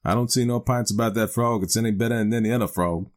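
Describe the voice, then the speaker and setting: A man voicing a sly stranger in a frontier storybook tale, speaking deliberately and mildly in folksy dialect. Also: lowish voice, natural voice. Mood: deliberate, unimpressed. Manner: very deliberate, feigning mildness. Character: sly, deliberate male stranger in a mining camp.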